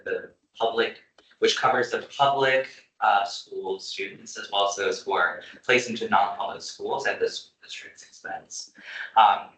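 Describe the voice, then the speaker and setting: A man's voice: lowish voice, fairly bright tone. A man walking a meeting through research methods, methodical and full of fillers, recorded from across an echoey room. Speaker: research presenter. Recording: echoey room, mic far off.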